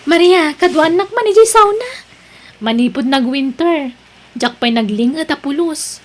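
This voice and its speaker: A woman's voice: high-pitched, lively intonation, unhurried. A woman playing a caring, devout, chatty friend in an Ilocano drama, with an Ilocano accent. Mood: caring, inquisitive. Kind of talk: Ilocano radio-style drama acting.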